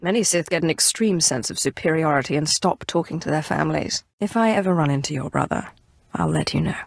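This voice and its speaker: A woman's voice: low-pitched, a little fast, gravelly, no echo, clean studio sound. A woman playing a haughty, sly young Sith sorceress in a video game, with a condescending, dryly sardonic delivery. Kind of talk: scripted video game voice acting.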